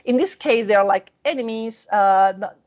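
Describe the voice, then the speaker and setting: A woman author answering interview questions over a video call, earnest and halting, often restarting her sentences. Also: a little high, darkish tone, dull audio.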